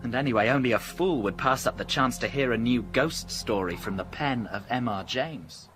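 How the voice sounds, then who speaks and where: A man playing a proper young Edwardian Cambridge student in a radio drama, crisp and formal, with an English accent. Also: mid-range voice, normal speed, natural voice. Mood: reproving. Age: young adult. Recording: music behind the voice.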